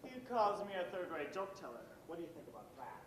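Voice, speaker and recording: A man's voice: on the high side, quick pace. A man playing a cocky, joking teenage buddy and would-be comedian in a declamatory amateur stage play. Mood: clowning. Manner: animated, declamatory. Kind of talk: amateur one-act stage play acting. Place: auditorium stage, miked from far off. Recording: echoey distant stage recording with noise.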